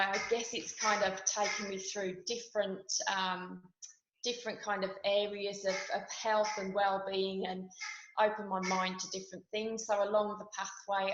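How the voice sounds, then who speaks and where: A woman's voice: mid-range voice, fairly bright tone, slight background noise. A woman in her forties with a West Midlands accent, chatting casually as an interview guest, with many ums and restarts. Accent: West Midlands English. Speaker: guest, nurse and skincare founder. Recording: echoey room, dull lo-fi sound.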